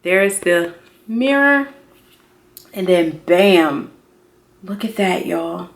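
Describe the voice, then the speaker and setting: Expressive, slangy woman vlogger in her thirties. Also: on the low side, very slow. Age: 30s. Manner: expressive, slangy, emphatic, repeats herself for effect. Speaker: beauty vlogger. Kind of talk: casual excited unboxing chat.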